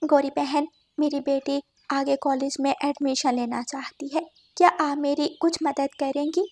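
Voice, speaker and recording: A woman voicing a gentle, devoted sparrow wife and mother with a polite, pleading manner in a cartoon story. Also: sits high, fairly even intonation, measured pace. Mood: earnest request.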